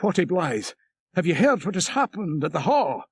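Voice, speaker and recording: A man voicing an excitable, gossipy old Scottish shepherd's wife in an audiobook story, with urgent exclamations. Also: high voice, normal speed, husky, dry recording, pitched above the performer's own voice, exaggerated voice. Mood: alarmed.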